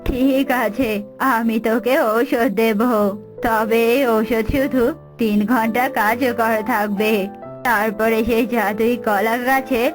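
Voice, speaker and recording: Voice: medium pitch, fairly even intonation, slow pace, music in the background, voice pushed down, close to an everyday voice. A performer voicing a hungry old male tantric mystic in a cartoon. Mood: solemn.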